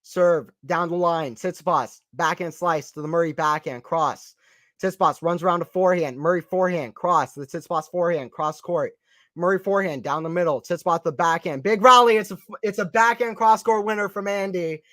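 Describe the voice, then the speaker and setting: A man's voice: high-pitched, a little fast, dry recording, studio quality. A man from the American South with a slight Southern accent, giving casual live play-by-play commentary on a tennis match and chatting with viewers. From Atlanta, Georgia, US. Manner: excitable, rises sharply on big points; casual, chatty, answers chat questions.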